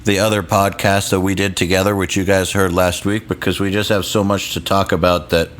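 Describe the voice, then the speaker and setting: A man hosting a podcast in casual chat, relaxed and rambling, swearing now and then. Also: low voice, fairly quick. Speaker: podcast host.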